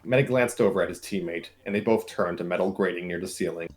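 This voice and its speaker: A man's voice: deep voice, quick pace. A man narrating a comic story aloud in an informal group setting, with casual asides.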